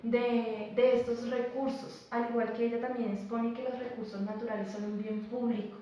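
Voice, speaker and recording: Flat-voiced woman in a dull, echoey room. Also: on the high side, recorded from a distance, slight background noise. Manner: earnest, with restarts and searching pauses. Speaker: teacher on a panel. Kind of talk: academic panel discussion.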